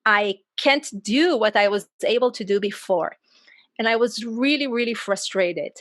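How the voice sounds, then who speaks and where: A woman's voice: a little high, measured pace, dry recording. Israeli-accented woman, dry close sound. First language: Hebrew. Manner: expressive, talks with her hands, says "right?" often. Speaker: guest, coach and author. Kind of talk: video call interview. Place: small room at home, wall of sticky notes. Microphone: earphone headset mic on a video call.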